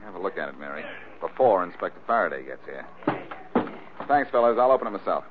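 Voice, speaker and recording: An actor playing a cool, sardonic amateur sleuth with teasing dry wit in old-time radio detective style. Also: male voice, deep voice, sing-song, fast. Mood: brisk, casual. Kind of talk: 1940s radio detective acting. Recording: noisy, tinny old-time radio recording.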